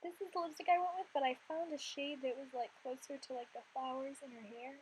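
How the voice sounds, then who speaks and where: A young woman in her twenties, slightly hoarse from losing her voice, chatting casually over gameplay in a rambling, filler-heavy way. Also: sits high, steady tone, quick pace, a little mellow, slight background noise, poor audio. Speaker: Sims content creator.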